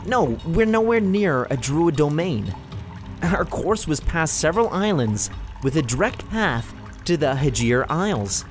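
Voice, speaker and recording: Male actor as doubting fantasy clansman, emphatic, animated, higher pitch, audio drama, faint music. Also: unhurried. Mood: insistent disbelief. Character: skeptical, insistent male clansman.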